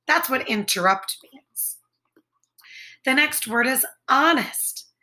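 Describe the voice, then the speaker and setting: Female read-aloud teacher for kids: unhurried, explanatory, carefully enunciated, with very animated intonation. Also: medium pitch, rough recording. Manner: clearly enunciated, explanatory, simple phrasing. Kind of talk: lesson and story reading for children.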